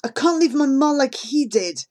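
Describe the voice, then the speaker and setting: A woman's voice: sits high, animated, normal speed, darkish tone, voice pushed up. A woman voicing an anxious, apologetic young boy. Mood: pleading. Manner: hesitant, apologetic. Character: young boy, anxious, guilty loyal friend.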